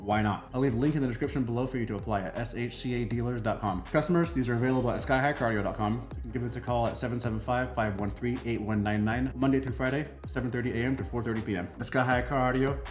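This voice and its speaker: Guy doing a hyped unboxing review, talks fast and punchy, echoey room. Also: medium pitch. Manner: emphatic, casual, punchy delivery. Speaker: product review channel host. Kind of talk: hyped unboxing and product presentation. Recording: roomy echo, faint music behind.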